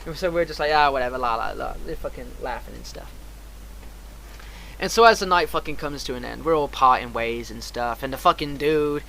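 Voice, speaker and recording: A man casually telling a rambling, animated anecdote on a livestream, acting out the dialogue and swearing often. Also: mid-range voice, brisk. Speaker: streamer. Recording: clearly noisy background.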